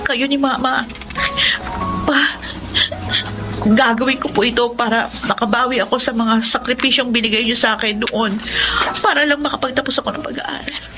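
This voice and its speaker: A woman's voice: fairly high pitch, steady tone, moderate pace, music in the background, natural voice. Earnest young daughter in a radio drama, acted by a woman. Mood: earnest, tender. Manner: earnest, heartfelt delivery. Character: devoted, grateful young adult daughter, former radio DJ. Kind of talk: Filipino radio drama acting and narration.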